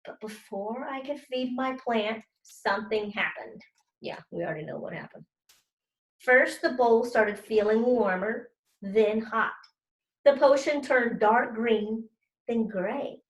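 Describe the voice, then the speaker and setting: A woman with a fairly high voice leads a children's read-aloud as a teacher. She explains simply and speaks straight to her listeners, in a noticeably echoey room. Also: takes it slowly. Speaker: teacher reading aloud to students. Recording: roomy, echoey sound.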